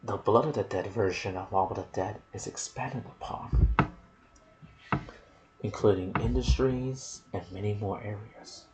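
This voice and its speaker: A soft-spoken man hosting an ASMR video about game lore, with a hushed delivery that slips into whispers. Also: on the low side, a little slow, a little hiss or hum, low-quality recording. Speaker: video host and gaming content creator.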